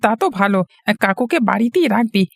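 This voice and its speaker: A woman's voice: medium pitch, expressive, quick pace, gravelly, slight background noise. A woman voicing a fussy, caring older brother, a fretful street seller in a cartoon. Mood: helpful. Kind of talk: cartoon moral-story dialogue.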